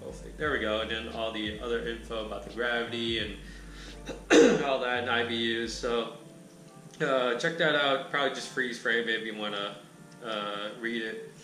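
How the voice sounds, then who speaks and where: A man with a raspy, bright-toned voice talks through a beer review in a loose, rambling way. He speaks in an echoey room with faint music playing in the background. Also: mid-range voice, normal speed. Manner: laid-back, rambling, lots of filler words. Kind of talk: casual chat.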